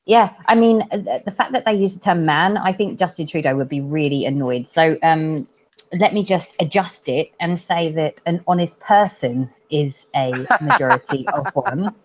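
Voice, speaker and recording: A woman's voice: low-pitched, expressive, unhurried. Giggly woman on dull lo-fi audio. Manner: giggly, trails off with fillers. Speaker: podcast co-host. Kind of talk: casual chat.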